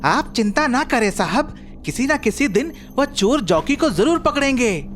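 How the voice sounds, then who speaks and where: A woman's voice: sits high, sing-song, normal speed, soft background music. A woman playing an eager, deferential police constable in a comic cartoon story, speaking respectfully and earnestly. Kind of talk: Hindi cartoon story acting.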